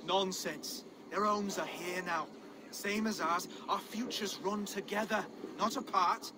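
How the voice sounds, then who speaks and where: A mild Saxon lord, courteous and earnest, with a slightly high voice. He speaks like a well-meaning would-be king in a game drama, over clear background noise. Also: a little slow. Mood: pleading, earnest. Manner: courteous, earnest, soft-hearted. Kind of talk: video game cutscene acting.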